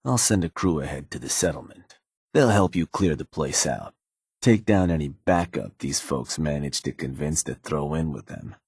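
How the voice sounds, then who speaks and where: Deep, husky, cynical gang advisor. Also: male voice, lively intonation, normal speed, a little mellow, no echo, clean studio sound, heavily acted. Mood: businesslike, encouraging. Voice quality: husky, low. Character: cynical gang advisor man, sly, folksy, menacing. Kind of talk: video game character dialogue.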